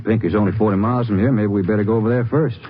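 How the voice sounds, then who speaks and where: An actor playing a firm, plainspoken Texas Ranger partner in an old-time radio crime drama, brisk and direct. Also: male voice, on the low side, fairly even intonation, natural voice. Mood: businesslike. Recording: dull, very noisy vintage radio recording.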